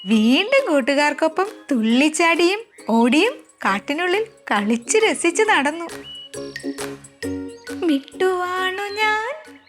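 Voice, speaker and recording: A woman storyteller narrating a scripted moral tale for children, expressive and carefully enunciated. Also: sits high, normal speed, faint music behind.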